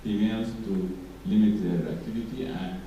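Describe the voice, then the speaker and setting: Guy asking a question from the floor, halting, lots of uhs, low dark voice. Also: steady tone, dull audio, a little hiss or hum. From Sudan. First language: not English. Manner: hesitant, many filler sounds. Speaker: audience member asking a question. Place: large conference hall. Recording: echoey hall, mic far off.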